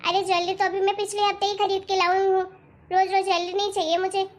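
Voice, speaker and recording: A woman's voice: extremely high pitch, little pitch movement, fairly quick. A woman voicing a dramatic, insistent young wife in an amateur cartoon story, with a whiny manner. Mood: demanding.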